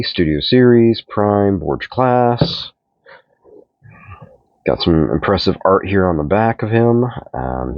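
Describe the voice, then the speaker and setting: A middle-aged man with a stuffed-up voice, casually reviewing a toy in a relaxed, rambling way. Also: deep voice, dry recording. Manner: relaxed, rambling, repeats himself while handling the figure. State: stuffed up from a cold. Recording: dull, dry home recording.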